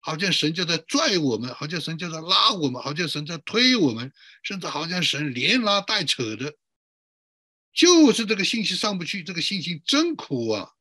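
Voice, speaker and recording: A man preaches as a pastor, his voice fairly high and bright with a husky edge. He is emphatic, stacking short repeated phrases and questions as he teaches. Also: very animated, moderate pace, dry recording, studio quality. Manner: emphatic, repetitive listing. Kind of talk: sermon, teaching with rhetorical questions.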